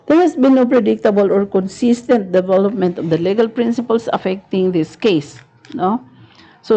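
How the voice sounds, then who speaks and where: A woman's voice: medium pitch, mellow, highs cut off. A woman with a Filipino accent giving a live online lecture in a conversational way, often adding a questioning tag to her phrases. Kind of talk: live online lecture, explaining and reading out court decisions.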